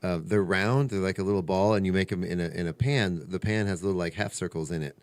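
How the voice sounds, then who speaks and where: A man's voice: low voice, fairly even intonation, fast talker, dry recording. A man hosting a casual radio chat, rambling through stories in a chatty, conversational way. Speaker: radio show host. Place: radio studio.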